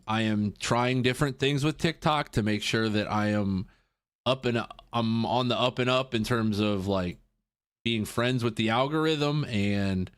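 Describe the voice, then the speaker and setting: Chatty man in his thirties streaming. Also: fairly low pitch. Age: 30s. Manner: chatty, reacts to chat, repeats himself. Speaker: livestream host. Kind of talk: casual live-stream chat over gameplay.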